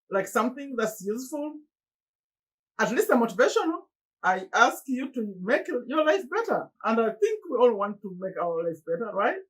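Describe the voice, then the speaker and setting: Husky Ugandan woman giving earnest encouragement. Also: rough recording. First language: Luganda. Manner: earnest, pleading, animated with sudden high exclamations. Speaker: motivational YouTuber. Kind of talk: motivational monologue to camera.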